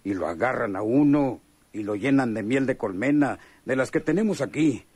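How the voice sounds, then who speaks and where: A man's voice: medium pitch, lively intonation, moderate pace, darkish tone, slightly rough, no room sound. A Mexican man acting a chummy, sly, hardened convict in a radio drama, talking in slangy, hesitant phrases. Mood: sly, confiding. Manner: slangy, hesitant drawl with knowing chuckles.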